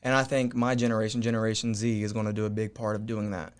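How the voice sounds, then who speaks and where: A man's voice: fairly low pitch, level tone, fast, clear, resonant tone. Earnest young man explaining his view. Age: young adult. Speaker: podcast guest. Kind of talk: casual discussion.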